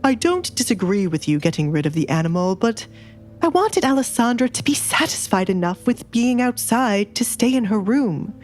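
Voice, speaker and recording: A woman's voice: a little high, expressive, moderate pace, darkish tone, faint music behind, natural voice. Woman voicing a weary, calculating noble father. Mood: weary, reluctant. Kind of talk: audiobook drama acting.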